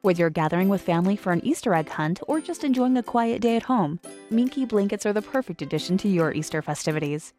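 A woman's voice: medium pitch, animated, fast, darkish tone, soft background music. A woman reading a scripted advertisement as an announcer.

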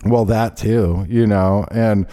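Brief: male host, rambling indignant rant, low and mellow voice. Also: fairly even intonation, slow pace. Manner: rambling, indignant, many fillers. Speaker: show host. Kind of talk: ranting casual chat.

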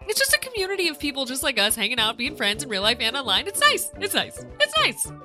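Upbeat woman host, lively swooping delivery, bright sparkle on the voice; chatty sign-off reads. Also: high-pitched, fast, soft background music. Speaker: podcast host. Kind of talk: casual podcast chat.

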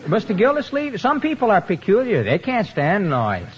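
A performer playing a tall, earnest adolescent boy in a 1950s radio sitcom, deliberate and matter-of-fact, a dry know-it-all. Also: mid-range voice, wildly swooping pitch. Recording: dull 1950s radio broadcast, faint noise.

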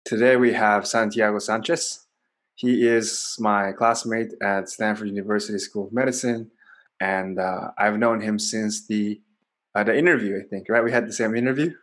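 A man's voice: lowish voice, fairly even intonation, moderate pace, a bit bright. A man in his twenties, a native Kazakh speaker, hosting a casual podcast and asking curious, self-deprecating questions. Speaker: podcast host. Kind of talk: casual interview chat.

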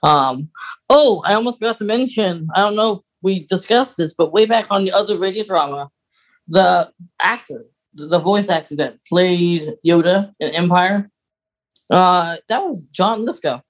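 A man co-hosting a casual fan discussion podcast, explaining details in a halting way. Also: high voice, low-quality recording. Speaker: podcast co-host.

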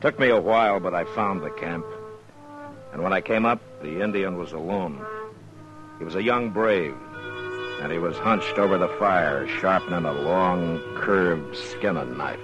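An American actor playing a stern, weary frontier lawman in a 1950s radio western, plain-spoken and measured. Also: sits low, lo-fi sound, music in the background. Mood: measured narration. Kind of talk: 1950s radio western acting, partly first-person narration.

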